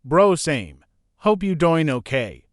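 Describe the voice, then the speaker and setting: A man reading forum posts and replies aloud in a steady, even narration. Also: a little high, takes it slowly. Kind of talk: scripted narration.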